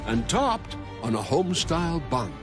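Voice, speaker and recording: A man narrating a scripted fast-food commercial with a deliberate, savoring, dramatic delivery. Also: fairly high pitch, very animated. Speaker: commercial voice-over announcer. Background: music bed.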